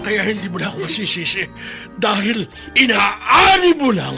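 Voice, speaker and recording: An unforgiving, bitter father in a Filipino radio melodrama. He scolds in a high voice with big swings of pitch, over clearly heard music. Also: very animated, normal speed, heavily acted. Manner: scolding, emotional, forceful. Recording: music behind the voice.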